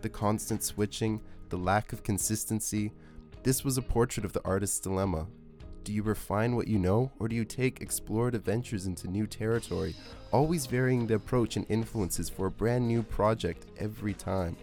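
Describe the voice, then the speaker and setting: A man hosting a podcast, reading scripted music-documentary narration in a measured, essay-like way. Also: lowish voice, darkish tone. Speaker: podcast host. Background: music under the voice.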